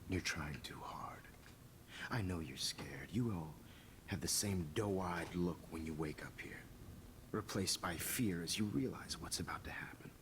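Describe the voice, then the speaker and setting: An actor playing a pompous, calmly menacing photographer villain in a video game, gloating softly over noisy captured audio. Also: male voice, low-pitched, unhurried. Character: smug, calmly menacing photographer kidnapping his students.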